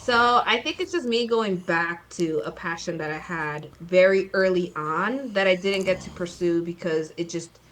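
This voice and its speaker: A woman in her thirties, a guest author chatting casually in an interview, talking fast with lots of fillers and acted-out quotes. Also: fairly low pitch, a bit bright, slight background noise, low-quality recording. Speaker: guest, children's book author.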